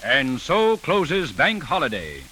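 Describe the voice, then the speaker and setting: A man's voice: on the high side, huge pitch swings, takes it slowly. A man announcing in formal 1940s radio style. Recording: old radio recording under loud music.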